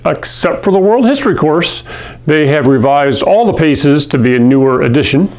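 Throat-clearing middle-aged male teacher. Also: fairly high pitch, darkish tone, low-quality recording. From Hawaii. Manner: friendly, conversational, often clears his throat. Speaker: chemistry teacher. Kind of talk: video lesson to camera. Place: classroom in front of a whiteboard. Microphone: lapel mic.

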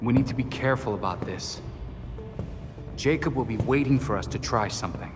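Man playing a cautious, measured young vigilante. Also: medium pitch, natural voice. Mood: serious. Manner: measured, explanatory. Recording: reverb with music score.

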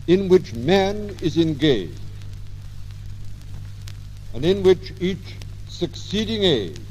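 An English man preaching a formal commemoration sermon in solemn, measured short phrases. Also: sits high, warm tone. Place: large stone abbey church. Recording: 1950 LP, long abbey reverb, hissy.

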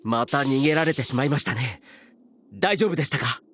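アニメゲームで、礼儀正しく真面目な若い男性戦士を演じる男性。丁寧で思いやりのある話し方。. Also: male voice, medium pitch, animated, fairly quick, fairly bright tone. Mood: concerned. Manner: courteous, earnest polite speech. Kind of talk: anime game voice acting.

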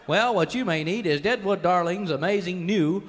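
A man's voice: high voice, moderate pace, big-room sound, noisy background, natural voice. An actor playing an earnest, folksy cowboy spokesman in a parody old-time radio commercial. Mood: earnest selling.

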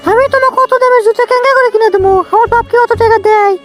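A man's voice: very high-pitched, fairly even intonation, rapid-fire, fairly bright tone, faint music behind. A man voicing a bossy, impatient young girlfriend in a comic cartoon, scolding with a Bogura accent from northern Bangladesh. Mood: exasperated, reasoning. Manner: scolding, exasperated.

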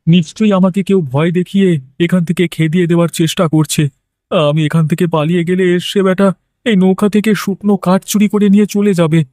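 High-pitched, excitable village scavenger played by a man. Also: fast talker, dry recording, studio quality, natural voice. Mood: defiant bluster. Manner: excitable, blustering. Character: stubborn, cowardly village scavenger man, easily panicked. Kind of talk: cartoon horror voice acting.

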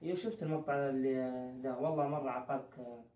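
A young man, reciting Quran in a melodic chant and talking quickly on the phone in a living room. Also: medium pitch, fairly even intonation, mellow, a little hiss or hum. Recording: echoey, distant, muffled camera audio.

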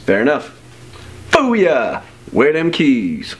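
A man's voice: medium pitch, wildly swooping pitch, very slow, a little hiss or hum, full character voice. A young man acting a smug, slangy, shady stranger offering magical deals in an amateur short film.

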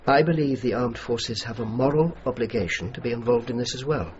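An actor playing a courteous, dutiful English civilian official in a radio drama, formal and measured, with an educated English accent. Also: male voice, mid-range voice, close to an everyday voice. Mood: earnest, insistent. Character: earnest, dutiful middle-aged English civilian official, courteous yet insistent. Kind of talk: radio drama acting and reflective narration. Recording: slightly muffled, faint noise.

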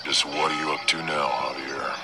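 A man's voice: very deep voice, flat delivery, normal speed, over loud music. An actor playing a serious, wary male government agent in a dramatic survival horror video game. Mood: grave, brooding.

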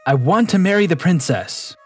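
Man playing lively, boyish young Aladdin. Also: fairly high pitch, moderate pace, breathy edge, soft background music. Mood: eager, earnest. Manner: earnest, exclamatory. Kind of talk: children's cartoon acting.

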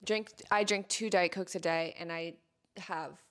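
A woman's voice: on the low side, steady tone, measured pace. A young adult woman co-hosting a podcast, chatting casually with a friend into a close handheld mic. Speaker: podcast co-host. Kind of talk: casual chat between friends. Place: small bedroom, sitting on a bed. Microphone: handheld microphone held close.

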